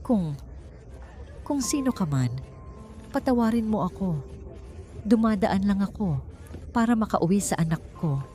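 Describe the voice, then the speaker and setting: A woman voicing an anxious, weary man in his fifties, a jeepney driver, hushed and hesitant, with a Filipino accent, in an audiobook. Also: medium pitch, animated, measured pace, pauses often, faint music behind, voice pushed up, natural voice. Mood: fearful pleading.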